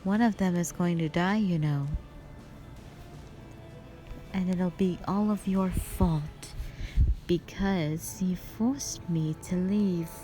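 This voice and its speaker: A woman performs a cruel spider-woman monster from a fantasy audio roleplay. Her voice sits a little low and she draws her taunts out very slowly, condescending and falsely sweet. Music plays clearly behind her. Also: dark tone. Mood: taunting menace. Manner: slow, drawn-out, condescending taunting.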